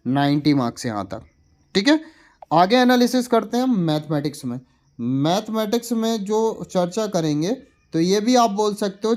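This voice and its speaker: A man's voice: fairly high pitch, darkish tone. A man talking as a coaching teacher in an informal explainer, repeating points as questions and answering them with check-in tags. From India. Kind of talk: informal exam analysis explainer.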